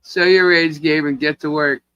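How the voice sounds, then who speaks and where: A woman co-hosting a casual livestream chat, teasing and chatty and quick to laugh. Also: low-pitched, steady tone, fairly bright tone, clean tone, no echo, lo-fi sound. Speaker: livestream co-host.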